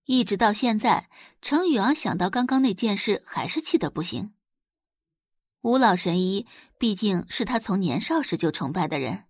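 A woman's voice: mid-range voice, very animated, moderate pace, husky, dry recording, clean studio sound. A woman reading scripted audiobook narration as a storyteller.

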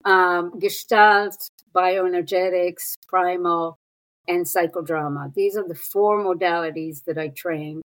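A woman in her sixties, raised in Israel, talks through her story as an interview guest. She speaks very slowly and thoughtfully, with a fairly low voice and frequent pauses. Her delivery stays reflective and measured. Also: steady tone. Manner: unhurried, thoughtful, with pauses and fillers. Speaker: guest, psychotherapist.

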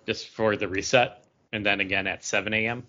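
A man's voice: lowish voice, steady tone, a little fast, bright, forceful tone, lo-fi sound. A man co-hosting a podcast, chatting casually about gaming. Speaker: podcast co-host.

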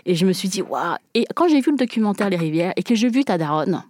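A woman's voice: medium pitch, expressive, quick pace, background noise. A woman hosting a casual conversation, talking in long winding sentences.

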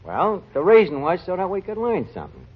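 A dull vintage radio recording carries a streetwise New York barkeep. He is a know-it-all who explains with mangled grammar and mock learning. His voice sits a little high and rises and falls freely. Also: wildly swooping pitch, fairly quick, a little hiss or hum. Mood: exasperated, coaxing. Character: streetwise tavern manager, malapropping know-it-all man, bossy but patient. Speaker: lead actor of a radio sitcom. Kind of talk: 1940s radio comedy acting.

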